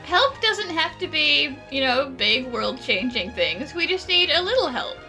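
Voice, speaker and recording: A woman's voice: high voice, big pitch swings, a little slow, pushed and bright, soft background music. A woman giving casual, playful gameplay commentary, chiming in teasingly as the player character.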